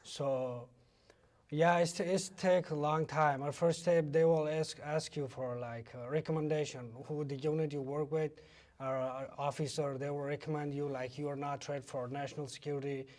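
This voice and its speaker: A man from Afghanistan with an Afghan accent, a native Pashto speaker, answering interview questions in halting English. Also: a little high, steady tone, a little mellow. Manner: halting, non-fluent English with repeated phrases. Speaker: interview guest, former military interpreter.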